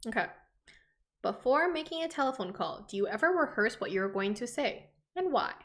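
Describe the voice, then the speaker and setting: A woman's voice: on the high side, lively intonation, normal speed, a bit bright. A young adult woman chatting informally as a podcast co-host. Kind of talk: casual chat.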